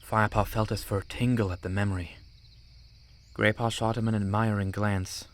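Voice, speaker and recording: A man's voice: on the low side, level tone, moderate pace. A man narrating an audiobook story from a script.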